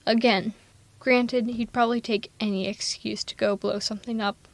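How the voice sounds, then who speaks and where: Woman playing a female supervillain, slightly high pitch, very dry sound, wry, protective, reassuring, conversational ASMR roleplay. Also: fairly even intonation, moderate pace, no echo, natural voice. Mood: exasperated, wry. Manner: casual, wry, reassuring, exasperated. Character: female supervillain nemesis, blunt, protective, gently caring.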